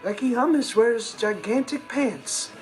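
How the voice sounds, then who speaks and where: A man's voice: very high-pitched, lively intonation, unhurried. An actor playing an earnest, awkward teenage boy with an American accent, delivering theatrical comedic monologues. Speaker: sitcom lead. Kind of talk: scripted comedic monologue to camera. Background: music.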